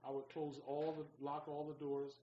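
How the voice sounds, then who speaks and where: A man on a talk radio panel discussing things casually and haltingly, often repeating his phrases. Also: fairly high pitch, completely flat delivery, dull audio, slight background noise. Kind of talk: casual current-affairs discussion.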